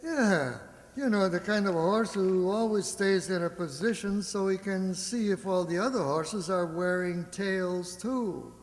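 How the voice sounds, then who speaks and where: Deadpan, needling wisecracker, played by a woman, radio comedy, echoey hall. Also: medium pitch, measured pace, lightly acted. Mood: dry. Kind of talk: old-time radio comedy acting.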